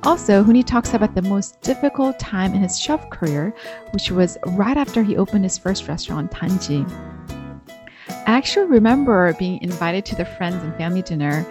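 A woman speaks as a podcast host and interviewer. Her voice sits at an average pitch for a woman. She has a conversational, introductory way of presenting, with light music in the recording. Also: expressive, normal speed.

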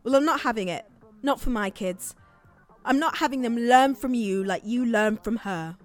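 An actress playing a blunt, protective, exasperated older sister with an English accent in a naturalistic audio drama. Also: female voice, a little high, normal speed, soft background music, natural voice. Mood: exasperated, firm. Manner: emphatic, blunt, repeating phrases.

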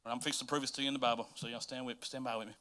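Bright, forceful Southern preacher man. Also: medium pitch, steady tone, rapid-fire. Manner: informal, folksy, addresses listeners directly. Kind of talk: church sermon with scripture reading.

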